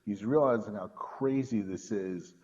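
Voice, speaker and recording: A man's voice: fairly low pitch, measured pace, a little mellow. A man co-hosting a film podcast, giving emphatic opinions in casual discussion, on a slightly dull recording.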